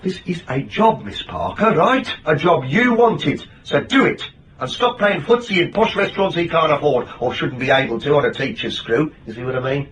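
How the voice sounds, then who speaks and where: Blunt, high-pitched British detective boss. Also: male voice, sing-song, moderate pace, a bit bright, recorded from a distance, slight background noise. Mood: bossy, insistent. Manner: colloquial, emphatic, broken-off phrases. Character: blunt, wisecracking male boss of a small detective agency. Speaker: actor. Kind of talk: radio drama acting.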